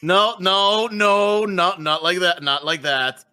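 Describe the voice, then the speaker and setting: A man's voice: high-pitched, steady tone, slow, pushed and bright, smooth, faint music behind, exaggerated voice. A man voicing a flustered middle-aged father and professor in a fan dub of a game, stammering as he defends himself.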